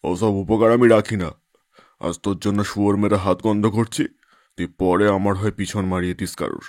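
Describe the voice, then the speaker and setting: A man playing a crude, coolly sinister gangster boss in an audio drama, sneering and unhurried. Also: fairly low pitch, fairly even intonation, a little mellow, dry recording, studio quality, close to an everyday voice.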